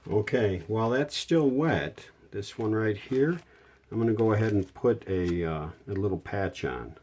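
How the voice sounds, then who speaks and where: This is a man with a low, dark and relaxed voice. He talks casually through hands-on repair work, step by step. His delivery is plainspoken and unhurried, like a hobbyist's tutorial. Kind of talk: casual hands-on how-to narration.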